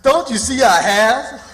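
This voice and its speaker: A man's voice: very high voice, noisy background, heavily acted. A man playing a superstitious, good-humoured runaway in radio theatre, with a folksy drawl from the American South. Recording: long reverberant hall sound.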